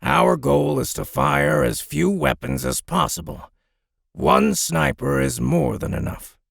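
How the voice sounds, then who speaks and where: A man playing a stern, commanding resistance commander with a gravelly, husky voice, authoritative and clipped, in an audiobook drama. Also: mid-range voice, takes it slowly, a bit bright, no echo, studio quality, exaggerated voice. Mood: firm.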